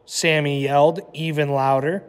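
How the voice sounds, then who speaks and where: A man's voice: on the high side, level tone, moderate pace. A man speaking as a teacher to young children, in the style of a read-aloud lesson. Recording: echoey room with long reverb.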